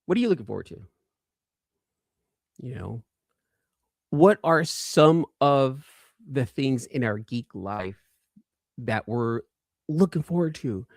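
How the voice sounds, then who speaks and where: A man's voice: medium pitch, big pitch swings, slow pace, vocal fry, pauses often, dry recording, clean studio sound. A man hosting a casual livestream, chatting informally and enthusiastically with viewers and often repeating phrases for emphasis. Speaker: livestream host.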